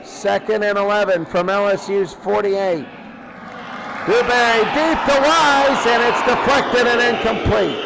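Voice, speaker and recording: A man with a high voice gives live play-by-play of a football game in short, clipped calls, often repeating himself. The radio broadcast recording has clear noise running under his voice. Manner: repeats phrases, clipped present-tense calls. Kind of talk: live radio sports commentary.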